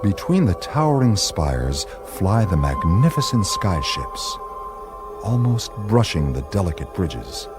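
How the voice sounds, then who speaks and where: A man narrating a radio play in a dramatic, measured, suspenseful storytelling style. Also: low-pitched, sing-song. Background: loud music.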